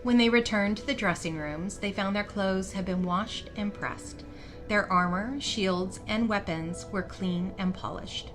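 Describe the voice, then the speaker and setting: A woman's voice: mid-range voice, measured pace. A woman reading scripted fantasy story narration as the storyteller. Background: music.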